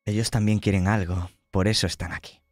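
A man playing a menacing, persuasive villain who bargains calmly in slow, measured phrases for a tabletop horror game. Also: lowish voice, no room sound, clean studio sound, close to an everyday voice. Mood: coaxing menace.

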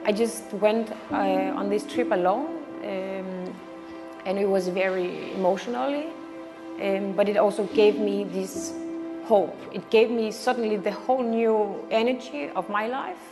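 A young woman with a Danish accent, a circus artist speaking in a reflective personal interview. She talks haltingly and searches for words in English, which is not her first language. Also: mid-range voice. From Denmark. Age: young adult. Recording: reverberant with background music.

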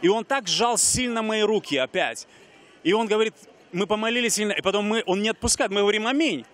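A man's voice: high voice, fast talker, a bit bright. A man in his forties hosting an outdoor street interview, talking earnestly with many fillers and restarts into a handheld mic. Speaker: TV host. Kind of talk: street interview, ministry talk. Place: busy pedestrian street outdoors.

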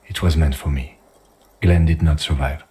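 An actor playing a calm, dutiful police lieutenant in a video game, speaking in a measured, clipped, understated way. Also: male voice, rumbling low. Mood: subdued, factual. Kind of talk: video game dialogue acting.

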